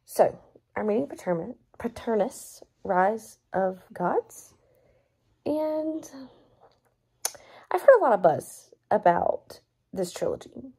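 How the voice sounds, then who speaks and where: A young woman, her voice hoarse and fry-laden from talking all day. She vents about a disappointing novel in an exasperated, emphatic way. It sounds like she is talking into a phone held at arm's length in a small room at home. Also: mid-range voice, very animated, slow, long pauses, warm tone. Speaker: book vlogger. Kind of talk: casual book review vlog. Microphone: phone front camera at arm's length.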